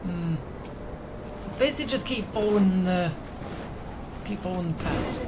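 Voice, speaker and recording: A man's voice: high-pitched, moderate pace, background noise. A man giving casual let's-play commentary, muttering to himself as he plays. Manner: muttering to self while playing. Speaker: gamer, let's-play host. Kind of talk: casual live gameplay commentary.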